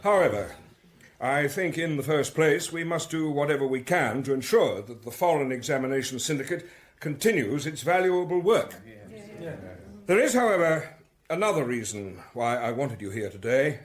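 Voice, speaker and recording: Radio drama suspect: male actor as stiff English academic administrator, fairly high voice, careful, hesitant, defensive. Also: expressive, normal speed, lightly acted. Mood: formal, uneasy. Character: formal, defensive male academic secretary under suspicion. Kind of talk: British radio drama acting.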